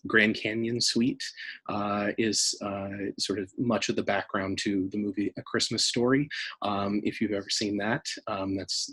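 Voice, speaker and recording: A man with a fairly low, noticeably husky voice talks informally as an archive staff member. He explains things in a thoughtful, halting way, pausing often as he finds his words. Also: poor audio. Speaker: music archive staff member giving an interview. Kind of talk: informal explanatory talk about archive items.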